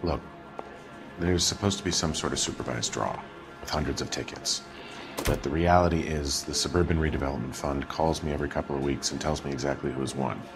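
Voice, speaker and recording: A guarded businessman in a detective game. His voice is low, with a weary, matter-of-fact delivery. Music runs beneath his lines. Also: a little fast. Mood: subdued, candid. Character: guarded, world-weary male promotions businessman. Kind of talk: video game cutscene acting. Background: background music.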